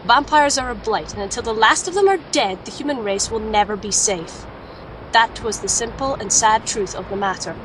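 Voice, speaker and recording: A woman playing a resolute, thoughtful alchemist warrior who narrates an audio drama in a measured, serious way. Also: fairly high pitch, background noise, lightly acted. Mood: brooding. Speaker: lead character and narrator. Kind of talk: audio drama dialogue and reflective first-person narration.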